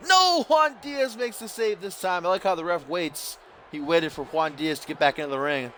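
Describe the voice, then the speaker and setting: A young man giving profane, excitable play-by-play of a video game wrestling match, grumbling one moment and yelling the next. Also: high-pitched, normal speed, a little hiss or hum. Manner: swings from dry grumbling to yelling big moves; profane, teasing.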